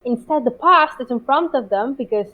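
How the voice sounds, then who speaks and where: Woman, Italian accent, higher pitch, halting speech, dull lo-fi sound. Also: animated. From Italy. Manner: halting, many restarts and repeated words. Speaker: guest translator. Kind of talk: interview over a call. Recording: dull lo-fi call audio.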